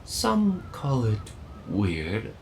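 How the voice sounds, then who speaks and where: A man voicing a playful male machine who learned to speak from people, with drawn-out, oddly timed phrasing. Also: on the low side, wildly swooping pitch, gravelly, a little mellow, slight background noise, full character voice. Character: male machine mechanic, playful, odd speech.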